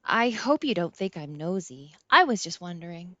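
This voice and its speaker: A woman's voice: fairly low pitch, very animated, normal speed, fairly bright tone, dry recording, muffled recording. Woman reading as a glib, evasive teenage boy. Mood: mock sincere. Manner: glib, chatty, mock-earnest. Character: evasive, sly teenage boy feigning innocent sincerity.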